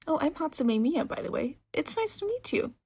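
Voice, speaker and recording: Woman putting on an excitable, high-pitched cheery shopkeeper. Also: normal speed. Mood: excited. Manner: exclamatory, eager, gushing.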